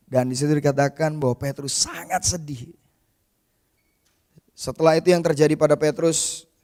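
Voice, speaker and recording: High-voiced man preaching a sermon. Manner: addresses listeners as "saudara", retells scripture with quoted voices; conversational and informal, with casual asides. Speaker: pastor.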